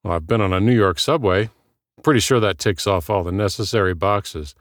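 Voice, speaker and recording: A man's voice: deep voice, fairly quick, dry recording, clean studio sound, close to an everyday voice. A man voicing a wry, jealous man who puffs himself up in an audiobook story. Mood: sheepish, wry.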